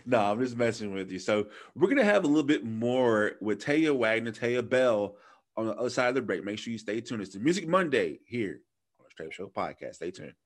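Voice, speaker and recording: A man's voice: mid-range voice, big pitch swings, a little fast. A man in his thirties from Louisiana, hosting a podcast interview in a laid-back, slangy, teasing way. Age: 30s. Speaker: podcast host.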